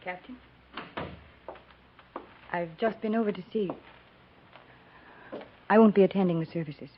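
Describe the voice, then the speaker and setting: A woman acting a reserved, grieving frontier woman in a 1950s radio melodrama, halting and full of regret. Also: medium pitch, slight background noise, natural voice. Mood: regretful, restrained. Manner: halting, confessional, restrained. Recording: muffled 1950s radio recording.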